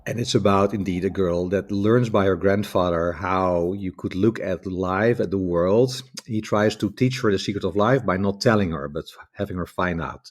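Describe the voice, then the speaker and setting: Low deep dutch guy on a video call, rambles and restarts a lot, thoughtful. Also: normal speed, hardly any pauses. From Netherlands. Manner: rambling, reflective, many restarts. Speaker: guest author. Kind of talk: casual conversation.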